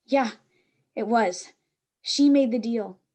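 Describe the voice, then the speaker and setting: A woman plays an anxious schoolgirl in a stage play reading. The voice sits very high. The delivery is fretful and theatrical, treating trouble as a disaster. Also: slow, a little mellow. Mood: indignant, fretful. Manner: fretful, dramatic. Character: anxious, dramatic schoolgirl dreading punishment.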